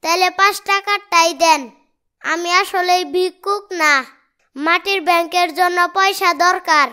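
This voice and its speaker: Little cartoon boy, piercingly high, bright, cheeky, insistent, Bangladeshi accent. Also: fairly even intonation, a little slow, full character voice. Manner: bold, argumentative, insistent.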